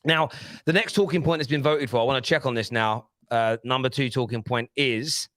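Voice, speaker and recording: A man's voice: medium pitch, a little fast, a bit bright, no room sound. A man presenting a football panel show in a studio, talking casually and insistently as he holds the floor.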